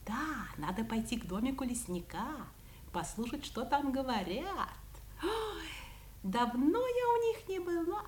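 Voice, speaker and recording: A woman's voice: high-pitched, big pitch swings, a little slow, darkish tone, a little hiss or hum. An actress playing a cunning, self-satisfied female fox in a children's audio play, teasing and mock-polite in a theatrical way. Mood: musing, pleased. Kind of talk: 1970s Soviet audio play acting for children.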